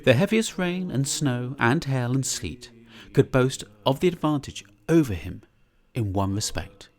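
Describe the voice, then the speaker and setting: Man reading aloud, theatrical storyteller, unhurried delivery, wide swooping pitch, live in a church. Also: lively intonation, a little mellow. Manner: theatrical, savouring descriptive words. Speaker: reader. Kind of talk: live dramatic reading of a classic tale.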